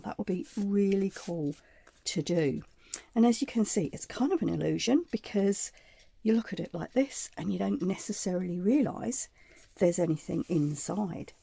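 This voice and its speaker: A woman hosting a casual craft tutorial, chatty and meandering, her voice croaky from a chest cold. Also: medium pitch, dark tone. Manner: chatty and meandering, with frequent ums and asides. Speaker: craft channel host.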